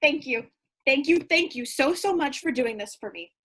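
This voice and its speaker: A woman in a staged play reading playing a brash, overeager, rowdy scheme member, loud and impatient. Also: high voice, expressive, moderate pace. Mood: gushing.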